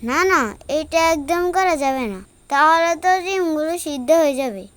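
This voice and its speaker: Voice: sits high, normal speed, a bit bright. A performer voicing an eager, headstrong young boy in a kids' cartoon, childlike and insistent. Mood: curious, eager. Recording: music behind the voice.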